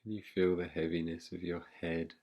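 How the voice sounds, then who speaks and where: A man guiding a relaxation meditation as a teacher, speaking in a soothing, unhurried manner with long pauses. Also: deep voice, little pitch movement, a little mellow.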